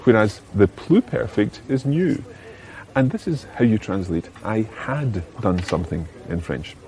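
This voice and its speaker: A man's voice: fairly low pitch, animated, slow, darkish tone, poor audio. A man hosting a language lesson, explaining phrases in a scripted teaching style and putting questions to the listener. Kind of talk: clear scripted teaching narration, switching between languages.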